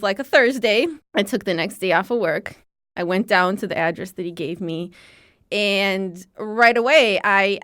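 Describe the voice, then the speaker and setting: Guest: woman, 40s, from Chicago, lively storyteller acting out quoted people, middle-pitched. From Chicago, USA. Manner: animated storyteller who voices the people she quotes. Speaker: guest, archives founder. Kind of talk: conversational interview storytelling.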